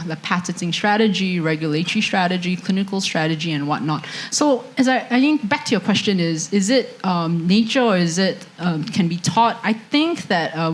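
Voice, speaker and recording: A woman with a Singaporean accent speaking as a surgeon on a panel, hesitant and full of restarts, into a handheld mic at an indoor event. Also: mid-range voice. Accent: Singaporean English. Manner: hesitant, many fillers and restarts. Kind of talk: panel discussion answer. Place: indoor event stage with audience. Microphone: handheld microphone.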